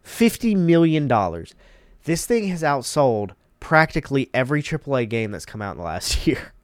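A man's voice: medium pitch, sing-song, normal speed, no echo, studio quality. A man hosting a casual gaming commentary, congested and emphatic, repeating words for emphasis. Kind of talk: casual commentary reading articles.

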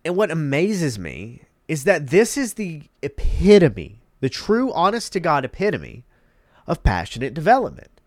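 A man's voice: a little high, wildly swooping pitch, a little slow, no echo. A man hosting a casual gaming commentary, congested and emphatic, repeating words for emphasis. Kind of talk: casual commentary reading articles.

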